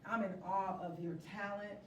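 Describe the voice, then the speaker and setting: A woman's voice: medium pitch, level tone, normal speed. A woman guest on a live interview giving announcements and thanks in an informal, effusive way. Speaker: interview guest, stage playwright. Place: indoor venue before a studio audience. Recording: echoey room, distant mic, noisy background.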